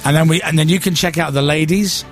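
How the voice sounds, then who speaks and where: A man's voice: on the high side, steady tone, fast, faint music behind. A man hosting a casual chat, teasing and joking with his guest. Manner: teasing, jokey. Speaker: TV host.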